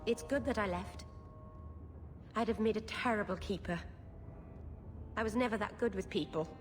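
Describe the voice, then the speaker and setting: A woman's voice: mid-range voice, moderate pace, over music, natural voice. Woman acting a sweet young elf, echoing room. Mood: awkward, earnest. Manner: earnest, self-deprecating, socially awkward. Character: awkward, sweet, bookish young female elf mage. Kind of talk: video game dialogue and storytelling. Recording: reverberant room, clear background noise.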